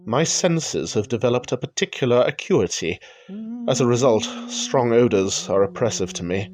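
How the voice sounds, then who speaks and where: An actor playing an ailing, anxious gentleman in a period radio drama, speaking formally and with melancholy. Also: medium pitch, lively intonation, moderate pace, close to an everyday voice. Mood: resigned, afflicted. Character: ailing, anxious gentleman, gracious but morbidly gloomy.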